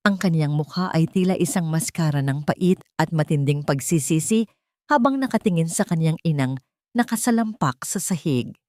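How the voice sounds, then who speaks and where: Female audio-story narrator, dramatic scripted read, slightly low voice, very dry sound. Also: a little mellow, no room sound.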